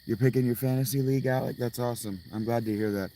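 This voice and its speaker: A man with a hoarse, strained voice, rambling and swearing as he chats casually with viewers on a livestream outdoors at night. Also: mid-range voice, steady tone, fast talker, warm tone, a little hiss or hum, low-quality recording. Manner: rambling, profane, restarts often.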